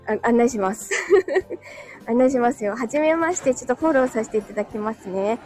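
A woman's voice: on the high side, fairly quick, a little mellow, faint music behind. A woman chatting casually and politely with viewers on a livestream, laughing often, a little breathless as she walks uphill while recovering from illness. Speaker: livestream host. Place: outdoors, walking on narrow hilly street.

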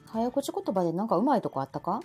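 A woman's voice: mid-range voice, sing-song, fast, mellow, slightly rough, faint music behind. A woman in her forties with a Kansai accent, chatting casually and playfully as host of a live audio show, often giggly. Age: 40s. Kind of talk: casual chat.

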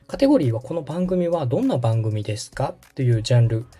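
Man, mellow tone, animated pitch, patient tutorial delivery, polite, background music. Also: fast talker, breathy edge. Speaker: host and radio director guiding a setup. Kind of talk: conversational step-by-step tutorial.

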